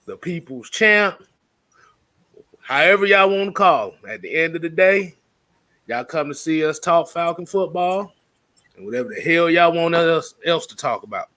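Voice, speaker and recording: A man co-hosts a casual sports talk stream, keeping things loose and joking. His voice is bright and somewhat high for a man. He talks slowly and unhurriedly, and the sound is close and dry with no room echo. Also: lively intonation. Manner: loose, joking, laughs a lot. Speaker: co-host of a sports talk show. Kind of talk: casual live stream banter.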